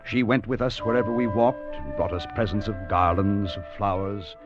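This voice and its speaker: A man's voice: lowish voice, fairly even intonation, moderate pace, close to an everyday voice. An actor playing an earnest, wondering time traveler who recounts his adventure in a 1950s radio drama. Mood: wondering, grave. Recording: muffled old radio recording, music behind.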